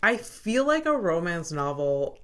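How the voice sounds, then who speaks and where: Low-voiced woman chatting casually. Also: sing-song, unhurried. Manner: laughs often, lots of filler "like". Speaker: podcast co-host. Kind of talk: casual chat.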